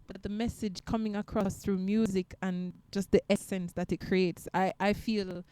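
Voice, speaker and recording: A woman who sings, talking in a casual interview in a soft, reflective and earnest way. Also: mid-range voice, little pitch movement, moderate pace, clear, resonant tone, no echo. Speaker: guest singer.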